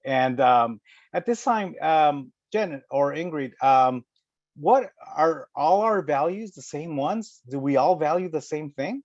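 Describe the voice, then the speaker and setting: A man's voice: on the high side, lively intonation, takes it slowly, darkish tone, dry recording, highs cut off. An older man moderating a webinar panel, affirming the speakers and passing questions along. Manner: affirming, brief interjections.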